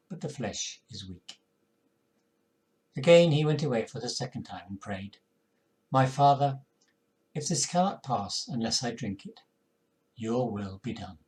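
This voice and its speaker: Older male lay reader, solemn and measured, scripture read at home. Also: mid-range voice, darkish tone, poor audio. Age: older, 60s or 70s. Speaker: congregation member reading scripture. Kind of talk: scripture reading. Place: living room at home, indoors.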